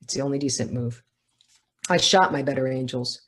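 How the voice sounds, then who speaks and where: A woman reading the part of a blunt, dismissive young sister from a novel, in a clipped deadpan. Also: rumbling low, normal speed.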